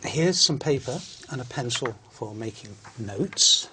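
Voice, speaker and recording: A man reading out scripted questions as a language test examiner, formal and measured. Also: mid-range voice, expressive, pushed and bright, a little hiss or hum.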